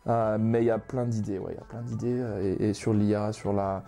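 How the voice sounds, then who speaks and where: A young man from Ghana with a mellow, darker voice, chatting easily as a guest. He talks in loose, meandering stretches, full of small pauses and restarts. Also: on the low side, creaky, faint music behind. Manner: relaxed, many hesitations and restarts. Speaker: manga author guest. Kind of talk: casual podcast conversation.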